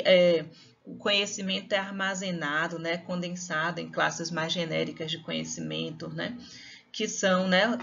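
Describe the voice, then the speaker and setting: Bahian woman teacher, low, muffled sound. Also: gravelly, fairly bright tone. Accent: Bahian Brazilian Portuguese. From Salvador, Bahia, Brazil. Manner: conversational, frequent tag questions and restarts. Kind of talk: recorded lecture.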